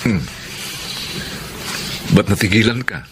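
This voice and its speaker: An actor playing a smooth, persistent and courteous Filipino suitor in a radio drama. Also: male voice, fairly low pitch, slow pace. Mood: flirtatious, teasing. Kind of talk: radio drama acting and monologue. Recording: noisy background ambience.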